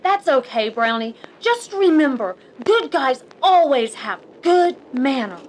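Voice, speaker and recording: An earnest, prim superheroine, as a woman acts her, speaks in a high, very lively voice. She sounds heroic and instructive, in old serial style, with faint noise behind. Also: a little slow, rough recording. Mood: gently scolding. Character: wholesome, earnest cartoon superheroine.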